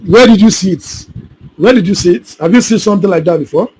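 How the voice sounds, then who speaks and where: High-voiced trainer on a call. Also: male voice, fast, a little mellow, a little hiss or hum, rough recording. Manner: repetitive, keeps checking students follow. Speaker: solar installation trainer. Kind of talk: live online class, answering questions. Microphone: video call.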